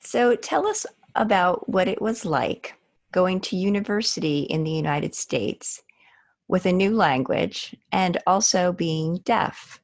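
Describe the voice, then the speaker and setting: A woman hosting a podcast, reading a scripted introduction and asking measured interview questions. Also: lowish voice, animated. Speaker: podcast host.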